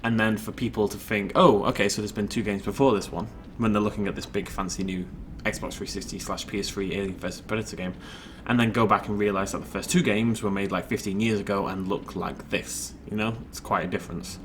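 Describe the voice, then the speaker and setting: Man, gameplay commentary, rambling, self-deprecating, lowish voice. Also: steady tone, brisk, hardly any pauses, background noise. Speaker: let's play host.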